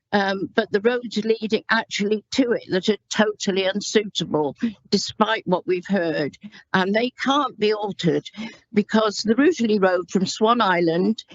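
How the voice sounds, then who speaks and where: A woman councillor speaks in a council chamber, pausing often and restarting her sentences. The sound is dull and dry, like a meeting microphone. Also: mid-range voice, no room sound. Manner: halting, many ums and restarts. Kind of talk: formal committee speech.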